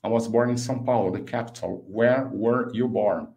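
A man in his fifties with a Brazilian accent, a native Portuguese speaker, teaching an online English lesson and slowly enunciating example phrases. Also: lowish voice, creaky, warm tone, poor audio. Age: 50s. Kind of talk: online language lesson, explaining and modelling phrases.

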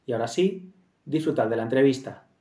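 A man's voice: medium pitch, lively intonation, a little fast, mellow. A man in his thirties hosting a video-call interview, polite and friendly, opening with a scripted welcome before asking questions. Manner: friendly, polite, short backchannels. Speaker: interviewer and show host. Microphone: video call webcam.

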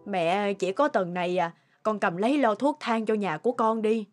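A woman voicing a humble, self-sacrificing elderly mother in an animated morality tale, gentle and a little hesitant. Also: mid-range voice, normal speed, natural voice. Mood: apologetic. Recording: music behind the voice.

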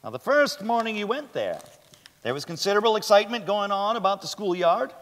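A big reverberant stage holds a man acting as an insistent defence lawyer with a high male voice. He cross-examines formally and sceptically, pressing for answers. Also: big pitch swings, brisk, fairly bright tone, exaggerated voice. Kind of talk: dramatic stage reading. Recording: echoey theatre stage, long reverb.

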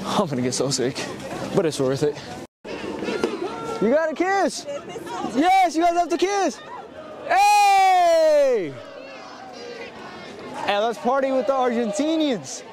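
A man filming a playful prank vlog out in a busy crowd, teasing strangers loudly, cold and sniffly. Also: extremely high pitch, huge pitch swings, takes it slowly, pauses often. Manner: loud, playful, teasing, swears casually. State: cold, runny nose. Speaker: prank vlogger. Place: outdoors in a busy city crowd. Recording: loud music and crowd noise outdoors. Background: loud music and crowd.

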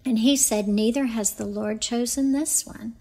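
A woman reading scripture aloud in a solemn, measured and deliberate way. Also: fairly high pitch, steady tone, mellow. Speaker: scripture reader.

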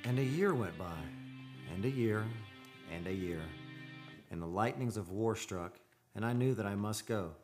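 An actor playing a haunted, lovelorn seafarer in a radio play, with theatrical, poetic delivery. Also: male voice, on the low side, moderate pace, natural voice. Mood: brooding. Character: Gunnar, lovelorn haunted seafaring man, brooding and desperate. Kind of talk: old-time radio drama acting. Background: music behind the voice.

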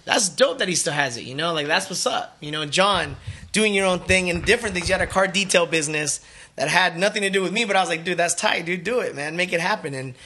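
A man's voice: high-pitched, fast, sharp tone. A man hosting a podcast, chatting casually and laughing often as he rambles on. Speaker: podcast host.

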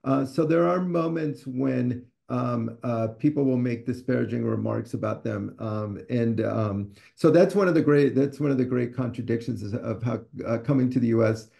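A man's voice: medium pitch, normal speed, gravelly, hardly any pauses, dark tone. A man in his sixties from Ecuador, an author answering questions on a video call from a study, thoughtful and hesitant with many fillers and restarts. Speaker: author and scholar. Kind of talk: conversational talk on a video call. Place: home study with bookshelves. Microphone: video call webcam.

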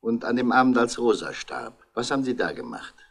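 A man playing a calm, shrewd French police inspector in a 1960s German radio crime drama, courteous, measured and probing. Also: medium pitch, gravelly. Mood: shrewd questioning.

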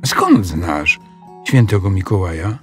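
A man's voice: sits low, lively intonation, takes it slowly, faint music behind. A man voicing an upset, scolding little girl in a children's audio story, with stern, emphatic delivery. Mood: suspicious, stern.

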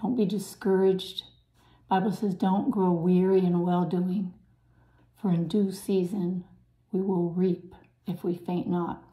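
A woman with a raspy, somewhat low and mellow voice preaches to her viewers with earnest urgency. She often sinks into a soft, breathy hush. The recording rings with the echo of the room. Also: steady tone, takes it slowly. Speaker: channel host preaching.

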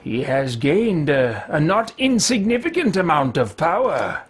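A man's voice: on the high side, wildly swooping pitch, a little slow, a little hiss or hum. A man roleplaying an elderly, earnest wizard in a video game, talking to himself in formal, old-fashioned, theatrical language. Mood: pensive, muttering. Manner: formal, archaic, theatrical self-talk.

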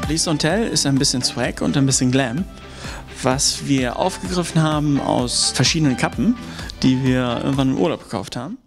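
A man who founded a shop, explaining it in a relaxed way. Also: mid-range voice, normal speed, over music.

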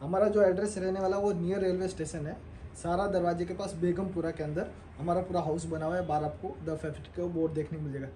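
A young man sells his clothing line from a showroom floor. His voice sits high but mellow, and he talks persuasively as he handles the garments. A faint room hum lingers behind him. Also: steady tone. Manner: fast persuasive salesman, shows garments while talking. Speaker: clothing wholesaler. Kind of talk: sales pitch in a showroom.

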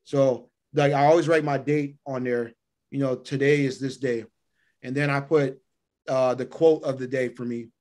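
A man's voice: medium pitch, fairly even intonation, normal speed, a little mellow. A middle-aged man speaking as a podcast guest over a video call, explaining his routines conversationally and repeating phrases for emphasis. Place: indoor room by a window, on a video call.

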